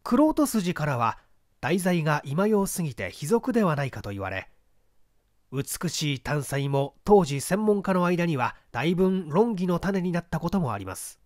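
Guy reading an old detective story, higher voice, expressive, formal phrasing, really dry sound. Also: moderate pace, no echo. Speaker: literary narrator, stage performer. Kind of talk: scripted dramatic reading of mystery fiction.

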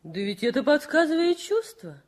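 A caring young nurse from a 1960s radio drama. She sounds simple and a bit bashful, her pitch rising and dipping in an animated way. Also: normal speed. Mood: modest. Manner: simple, solicitous, slightly bashful. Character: caring, modest young nurse, nearly twenty.

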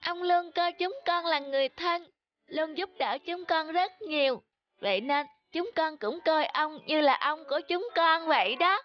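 Woman voicing a very high-pitched schoolchild. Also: measured pace, muffled recording. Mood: grateful, cheerful. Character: polite, sweet, worried schoolchild. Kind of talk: dubbed cartoon storytelling.

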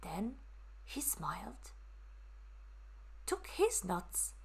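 A woman narrating a children's story for a puppet show in a measured, deliberate way. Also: mid-range voice, very animated, vocal fry, slightly rough.

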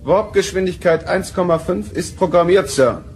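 An actor playing a dutiful starship helm officer in a sci-fi audio drama, reporting in a military manner. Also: male voice, sits high, normal speed, darkish tone, a little hiss or hum, natural voice. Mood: alert. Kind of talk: German audio drama acting.

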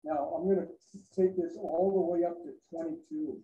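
A man's voice: on the high side, fairly even intonation, moderate pace. Man narrating a drill demo in a workshop. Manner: narrates what he is doing with the tool. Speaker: woodworker demonstrating. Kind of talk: casual workshop demonstration. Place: woodworking shop indoors. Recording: dull echoey workshop sound.

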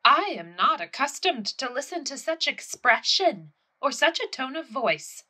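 Casting: actress as haughty gentry widow for radio farce, higher-pitched, bright cutting tone, formal and indignant. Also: female voice, big pitch swings, a little slow, exaggerated voice. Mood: haughty, offended. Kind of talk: theatrical audio play acting.

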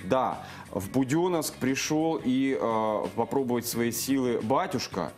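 Halting thirties male studio guest, lapel-miked, casual chat. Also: medium pitch, a little mellow, faint music behind. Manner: halting, many fillers and restarts. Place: TV news studio. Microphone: lapel mic.

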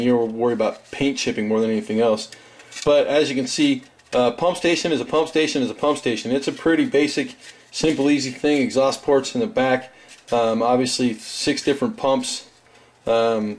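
A man in his thirties with a raspy voice, hosting a casual tabletop terrain review for his viewers, loose and chatty. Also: medium pitch, a little mellow. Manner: loose and chatty, full of fillers and asides. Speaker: tabletop hobby channel host.

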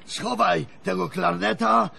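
An actor playing a weary, grumbling old grandfather in a radio play, fatalistic but fond, with a slow folksy lament. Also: sits high, sharp tone, a little hiss or hum, heavily acted. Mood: resigned, confiding. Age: older. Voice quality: creaky. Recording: music under the voice.

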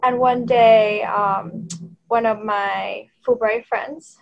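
A woman's voice: on the high side, fairly even intonation, slow, creaky, dull audio. A woman in her thirties with a Thai accent, a native Thai speaker, chatting casually as a podcast guest over a video call, with lots of fillers and small laughs. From Thailand. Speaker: guest, YouTuber and language coach. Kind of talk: casual interview chat. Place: room in front of a green screen.

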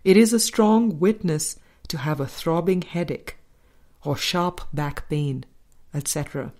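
A woman narrating a spiritual book aloud in a measured, deliberate way, pausing between phrases. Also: low voice, a little mellow. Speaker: audiobook narrator.

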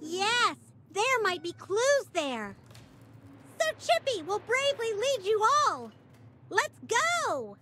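A woman voicing a small, plucky, boastful animal sidekick in a squeaky childlike cartoon voice for a game. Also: sing-song, slow, noisy background, exaggerated voice. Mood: eager, proud. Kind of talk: cartoonish video game acting.

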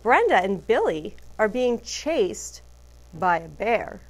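A woman teacher reading a picture book aloud to children, deliberately emphasizing letters and phrases. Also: medium pitch, sing-song.

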